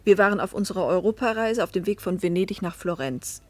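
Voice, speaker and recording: An actress playing a pensive middle-aged wife and mother, recounting a fateful journey in measured literary narration that sometimes sinks toward a whisper. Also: medium pitch, expressive, no echo, natural voice. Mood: reflective. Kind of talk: literary first-person narration in a German radio play.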